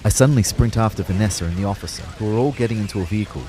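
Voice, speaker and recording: Audio drama lead: male actor as bewildered dead man telling his own story, lowish pitch, brooding and measured, over rain-like background noise. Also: warm tone, close to an everyday voice. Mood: somber, tense. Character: Kyle, bewildered young male ghost narrating his afterlife. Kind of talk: first-person scripted audio drama narration with dialogue.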